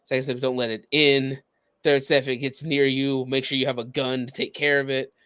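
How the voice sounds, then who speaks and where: Male podcast co-host, mid-pitched, dry close recording, casual and halting explanatory delivery. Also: little pitch movement, fairly bright tone, clear, resonant tone, dry recording.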